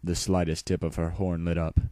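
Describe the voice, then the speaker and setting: A man's voice: low-pitched, level tone, moderate pace, dark tone, no room sound. A man reading a story aloud as a dramatic, scripted audiobook narration.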